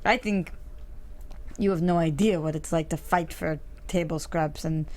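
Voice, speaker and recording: An actress playing a proud, combative immigrant wife, sarcastic and confrontational, at a script table read. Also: female voice, low voice, steady tone, unhurried, darkish tone. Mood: bitter, defiant.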